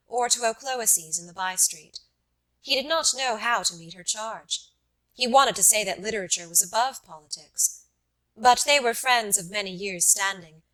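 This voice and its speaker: A woman narrates literary fiction for an audiobook. Her voice is bright and mid-pitched. She reads in a measured, carefully enunciated manner. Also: poor audio.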